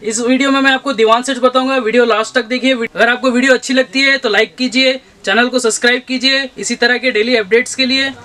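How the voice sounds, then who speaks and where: A young man, a shop owner giving a brisk sales pitch for his goods and addressing viewers as friends again and again. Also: steady tone, pushed and bright. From India. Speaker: shop owner showing products. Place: textile shop, indoors.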